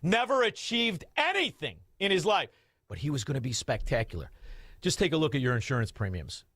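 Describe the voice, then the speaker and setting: A man, hosting talk radio in a combative, mocking rant with shouted outbursts. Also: on the high side, sing-song, brisk, no echo. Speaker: radio talk show host.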